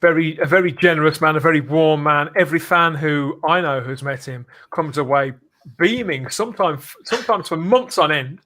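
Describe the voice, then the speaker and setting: Man, slightly high voice, podcast host, live chat. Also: normal speed, bright, forceful tone.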